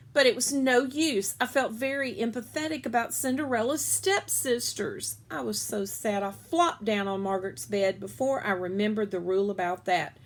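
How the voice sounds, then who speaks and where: A woman reading a children's story aloud expressively, stretching key words as a storyteller does. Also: mid-range voice.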